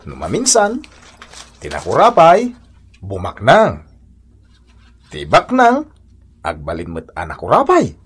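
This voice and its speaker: A man playing a poor, resigned husband and father in a radio comedy drama, sighing and philosophising, with an Ilocano accent and a husky voice. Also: lowish voice, wildly swooping pitch, slow pace, long pauses, exaggerated voice. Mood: earnest.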